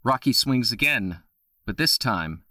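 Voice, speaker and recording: A man narrating the action of an audio drama in a steady, matter-of-fact way. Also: mid-range voice, a little slow, no room sound, clean studio sound.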